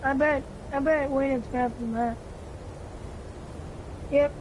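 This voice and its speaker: Casting: high-pitched casual gamer, calling plays to teammates over a noisy mic. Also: fairly even intonation, unhurried, highs cut off. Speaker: video game player talking with teammates. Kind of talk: casual multiplayer gaming chatter, callouts to teammates. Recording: clearly noisy gaming mic recording.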